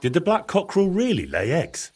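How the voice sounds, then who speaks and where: A man's voice: high voice, huge pitch swings, fairly quick, husky, full character voice. An actor playing a cheeky, curious young man in a medieval game, talking in a casual, persuasive way. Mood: curious, coaxing. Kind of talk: dramatic game dialogue acting.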